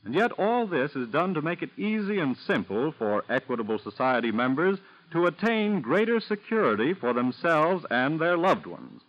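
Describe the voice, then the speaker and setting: A man's voice: a little high, big pitch swings, moderate pace, hardly any pauses. An American man reading a scripted sponsor message as a formal, emphatic 1940s radio announcer. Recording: muffled old 1940s radio recording.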